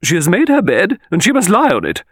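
Wanted: bossy, well-to-do elderly lady, played by a man, high-pitched, brisk and commanding, for an audiobook. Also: wildly swooping pitch, no echo, studio quality, exaggerated voice. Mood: sharp, decisive. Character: autocratic, sharp-tongued, brisk old lady of means. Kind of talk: audiobook character voice.

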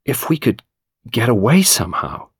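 A man voicing a tormented, anxious young woman in an audiobook, with a hoarse edge. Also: huge pitch swings, normal speed, no echo, studio quality, full character voice. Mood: horrified, unsure. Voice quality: deep, hoarse, hushed.

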